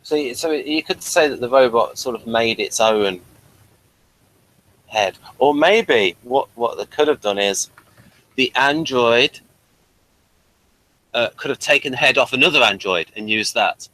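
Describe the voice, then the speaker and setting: Male review host, mid-pitched, informal and unscripted, feels his way haltingly through each thought. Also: pushed and bright, no room sound, poor audio. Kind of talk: casual unscripted review chat.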